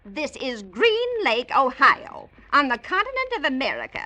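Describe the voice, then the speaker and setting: A suspicious, scolding housewife in an old radio play. Her voice is high and piercing, swinging sharply in pitch as she brushes people off with impatience. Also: wildly swooping pitch, moderate pace, bright, forceful tone, heavily acted. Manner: curt, scolding, exasperated. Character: brusque, suspicious, impatient small-town housewife. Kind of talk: old-time radio drama acting.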